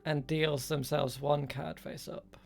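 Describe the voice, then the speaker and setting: A man's voice: on the high side, flat delivery, slow pace, gravelly, faint music behind. A man improvising narration as game master of a tabletop game, hesitant and thinking aloud.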